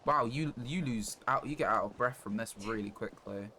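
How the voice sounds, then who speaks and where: A man streaming a game live, giving casual commentary in a halting, rambling way as he thinks aloud. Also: medium pitch, pushed and bright. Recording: noticeable background noise.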